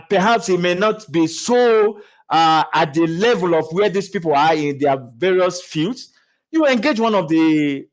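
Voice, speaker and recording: A man giving a motivational talk on public speaking, animated, with lots of restarts and repeated words, laughing as he goes. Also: sits high. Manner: laughs while talking. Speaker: speaking coach.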